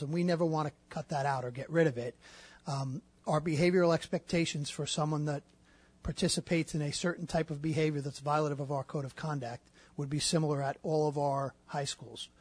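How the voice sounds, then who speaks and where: A man with a slightly husky, somewhat high voice speaks as a school district official. He answers questions at a public meeting in a measured way, with frequent fillers. The recording is dry, with no room echo. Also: darkish tone.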